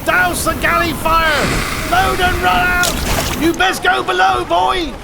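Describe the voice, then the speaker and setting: Creaky, high-strained gruff sea captain. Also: male voice, fairly even intonation, takes it slowly, heavy background noise, heavily acted. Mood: urgent, commanding. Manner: archaic nautical talk, barking orders. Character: gruff, commanding old-time sea captain of a sailing ship. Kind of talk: audio drama acting.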